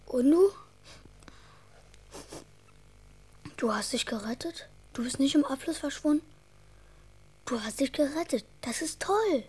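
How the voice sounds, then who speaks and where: Soft-toned, earnest little boy. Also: high voice, expressive, moderate pace. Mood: amazed relief. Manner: earnest, childlike, coaxing. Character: earnest, caring little boy, emotional and bossy. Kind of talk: radio drama for children.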